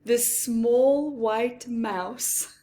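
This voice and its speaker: A woman reading a picture book aloud to young children, expressively, with asides to her listeners and hushed whispers. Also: fairly high pitch. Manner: acts out characters, pausing for asides to listeners. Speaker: children's story reader.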